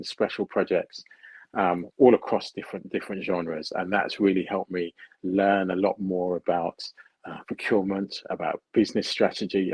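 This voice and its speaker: Middle-aged man, British accent, very low husky voice, dry close sound. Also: darkish tone, no echo, low-quality recording. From United Kingdom. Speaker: guest, procurement consultant. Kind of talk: interview answers.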